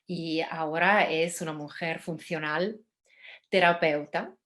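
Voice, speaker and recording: A woman from Spain with a Spanish accent, giving calm, gentle advice on a video call, pausing and restarting often. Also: low-pitched. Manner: gentle, halting, with many pauses and restarts. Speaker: guest speaker, survivor. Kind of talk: calm advice in a live talk.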